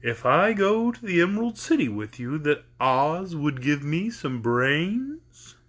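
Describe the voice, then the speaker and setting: A gentle scarecrow stuffed with straw, put on by a storyteller, speaking in a husky voice. He draws every word out slowly and politely, and his tone stays bright and lively. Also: on the high side, exaggerated voice. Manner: slow, drawn-out, polite speech. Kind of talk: storybook reading.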